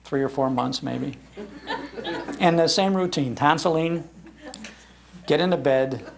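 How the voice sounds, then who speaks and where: Older American male speaker, creaky-voiced, for folksy nostalgic storytelling delivered live with emphasis. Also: fairly high pitch, a little slow. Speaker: speaker giving a talk on old-time radio. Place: public library talk before an audience.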